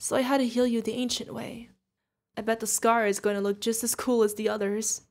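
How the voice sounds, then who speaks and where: A woman's voice: fairly high pitch, level tone, a little fast, natural voice. A woman playing a fierce yet tender witch mentor who is protective of an adopted pupil, in an emotional fantasy audio roleplay. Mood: relieved, teasing. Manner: emotional, commanding, affectionate, pleading. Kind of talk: ASMR fantasy roleplay acting.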